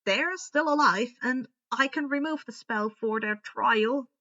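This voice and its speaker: A woman voicing a calm, matter-of-fact mythical elf in a storybook reading. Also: fairly high pitch, measured pace, muffled recording. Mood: unconcerned.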